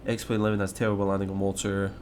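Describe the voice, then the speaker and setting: A man's voice: deep voice, monotone, very fast, darkish tone, slight background noise. A young Scottish man with a slight English mix to his accent, chatting casually on a live stream and reading out viewer questions, rambling with lots of fillers and restarts. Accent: Scottish, mixed with English. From Scotland. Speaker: flight sim live streamer.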